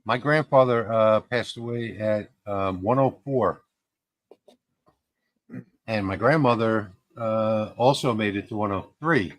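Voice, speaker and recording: A man's voice: fairly low pitch, slow pace, no echo. A man in his fifties hosting a casual livestream, talking in a rambling way with fillers and restarts. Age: 50s. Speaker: livestream host.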